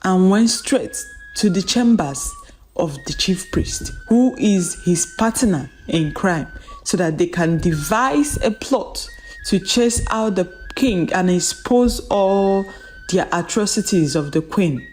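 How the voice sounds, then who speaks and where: A woman narrating a folktale in a dramatic, solemn and deliberate storytelling manner, repeating phrases for emphasis. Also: mid-range voice, lively intonation, a little mellow, faint music behind.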